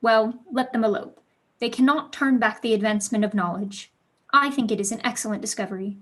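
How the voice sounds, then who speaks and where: Casting: woman to play headstrong teenage girl pupil in period drama, highish voice, eloquent, eager, loves an argument. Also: fairly quick, fairly bright tone. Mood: indignant. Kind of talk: staged reading of a period play.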